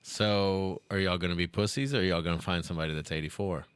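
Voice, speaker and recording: A man's voice: low voice, fairly even intonation, fast talker, smooth, no echo. A man co-hosting a casual podcast, tossing out crude wisecracks.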